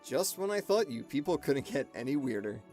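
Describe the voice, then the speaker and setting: Sarcastic, animated young skeptic acted by a woman. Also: a bass voice, fairly quick, faint music behind. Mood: incredulous. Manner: sarcastic, incredulous. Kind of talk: radio drama acting.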